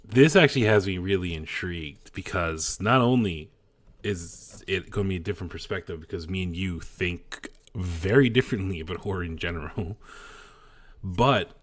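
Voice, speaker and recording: A man co-hosting a podcast, chatting casually and excitably, with frequent fillers and laughs. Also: low-pitched, normal speed, no echo. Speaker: podcast co-host. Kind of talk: casual chat.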